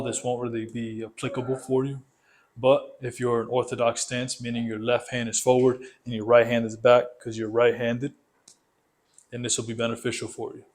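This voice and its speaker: A man coaching a boxing workout, explaining it methodically, step by step, in an instructional style. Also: medium pitch.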